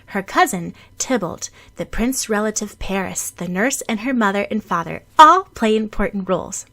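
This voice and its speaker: A woman's voice: mid-range voice, fairly bright tone. A woman narrator reading a fan fiction audiobook as scripted storytelling.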